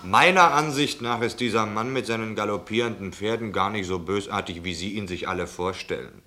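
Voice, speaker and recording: A man's voice: fairly low pitch, a little fast, pushed and bright. An actor playing a reasonable working man who longs for security, musing and arguing in a theatrical radio play. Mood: musing, envious.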